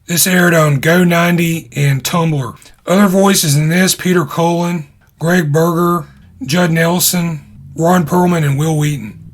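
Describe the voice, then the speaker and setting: A man hosting an online video, narrating his explanations in a measured way, in short phrases. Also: a little high, fairly even intonation. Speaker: YouTube host.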